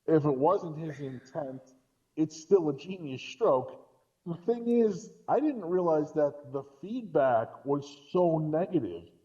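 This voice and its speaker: A man's voice: a little high, big pitch swings, takes it slowly, dark tone, lo-fi sound. A man in a casual podcast discussion, telling things in a rambling way with repeated false starts. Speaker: podcast guest co-commentator.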